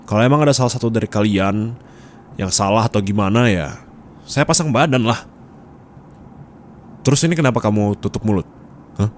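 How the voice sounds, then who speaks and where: A man's voice: on the low side, natural voice. A man playing a bossy, nagging office boss in an ASMR roleplay, scolding and teasing bluntly in colloquial speech with a Jakarta Indonesian accent. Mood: explaining. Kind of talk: intimate ASMR roleplay acting. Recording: steady background ambience noise.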